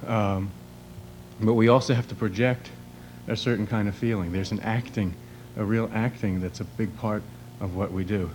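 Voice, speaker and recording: A man out of breath, giving halting, thoughtful answers as a guest in a conversational interview. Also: lowish voice, steady tone, a little mellow. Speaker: guest, mime performer. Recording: noisy recording with hum.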